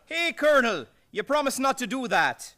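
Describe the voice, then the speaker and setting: A man's voice: very high-pitched, very animated, moderate pace, sharp tone, slight background noise, exaggerated voice. An actor playing an amiable, plain-spoken neighborhood policeman in an old-time radio comedy. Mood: good-natured. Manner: plain-spoken, good-natured.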